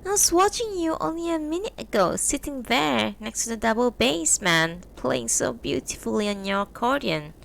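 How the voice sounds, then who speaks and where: A woman voicing a flighty, sharp-tongued middle-aged wife who talks in a high, sing-song, teasing way. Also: expressive, normal speed, gravelly, hardly any pauses, slight background noise, heavily acted.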